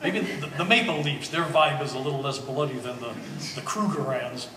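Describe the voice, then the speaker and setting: A man's voice: medium pitch, close to an everyday voice. Man playing an earnest, plain-spoken man, echoey hall. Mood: gentle, hesitant. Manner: halting, with repeated words and trailing starts. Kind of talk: one-act stage play performance. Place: art gallery, live staged reading. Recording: echoey gallery hall, distant mic, hiss.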